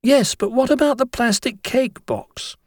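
A man's voice: very high voice, a little slow, a little mellow, no room sound, clean studio sound, voice pushed up. A man doing an earnest young schoolboy. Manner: earnest, explaining patiently. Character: eager schoolboy explaining an ant trap.

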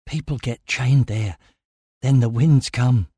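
A man voicing a fearful, secretive man in a story, giving halting warnings in a hushed, breathy voice. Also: medium pitch, no room sound. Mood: breaking, anguished. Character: frightened, secretive male castle dweller warning a stranger.

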